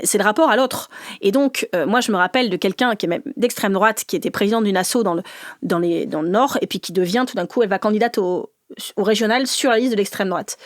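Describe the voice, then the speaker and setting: A woman from the north of France, a politician guest in an interview, talking fast and animated with laughing asides and many restarts. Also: medium pitch, no room sound, studio quality. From northern France, mining basin. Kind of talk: lively conversational interview.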